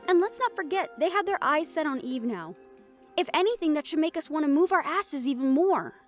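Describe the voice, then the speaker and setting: A woman's voice: sits high, expressive, brisk. An actress playing a determined, rule-bending woman hunter in a fantasy audio roleplay, speaking insistently and persuasively. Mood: urgent, resolute. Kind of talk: scripted audio roleplay drama. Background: background music.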